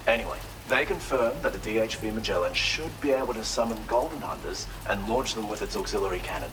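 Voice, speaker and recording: A man's voice: fairly low pitch, animated, fast, lightly acted, low-quality recording. An actor playing an eccentric, courteous male researcher and healer in a video game, speaking in a theatrical, formal, effusive way. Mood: confident, enthusiastic. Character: eccentric, courteous male tar therapist, enthusiastic self-taught researcher. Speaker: non-player character in a video game. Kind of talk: expressive video game character dialogue.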